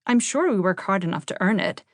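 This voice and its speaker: A woman's voice: mid-range voice, animated, a little fast, no room sound, lightly acted. Lady reading as a fiery tomboy teen girl, blunt and grumbly, storybook voice, close dry sound. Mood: defiant.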